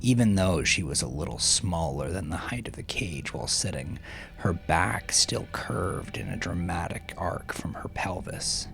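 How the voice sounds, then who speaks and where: A man narrating dark dramatic fiction in a brooding, theatrical way, with breathy hushed phrase endings. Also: sits low, animated, a little slow, whispered. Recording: music behind the voice. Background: music.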